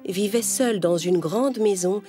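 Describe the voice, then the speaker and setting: A woman's voice: mid-range voice, a little slow, over music. A woman storyteller reading a scripted children's tale as narration.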